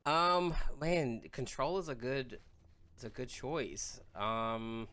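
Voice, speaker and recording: A man's voice: medium pitch, animated, slow pace, fairly bright tone. A man chatting casually as a podcast co-host, with a hesitant, rambling delivery. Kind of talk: casual chat.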